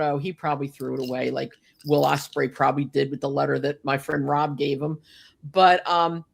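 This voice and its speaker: A woman's voice: sits low, creaky, a bit bright. An older woman reminiscing in casual, unscripted talk as a podcast host, chatty and emphatic, with frequent asides to her listeners. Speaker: podcast host telling personal memories.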